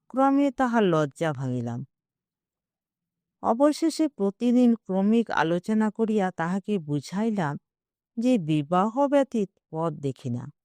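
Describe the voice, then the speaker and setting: A woman reads literary fiction as an audio story narrator. Her delivery is slow and measured but expressive, with a soft, mellow tone. The recording is close and dry. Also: mid-range voice, no room sound.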